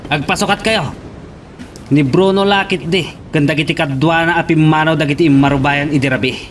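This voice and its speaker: A man's voice: fairly high pitch, brisk, a bit bright, lightly acted. A man with an Ilocano accent playing a loyal, alert hacienda henchman in a radio drama. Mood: earnest, vigilant. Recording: noisy radio drama recording.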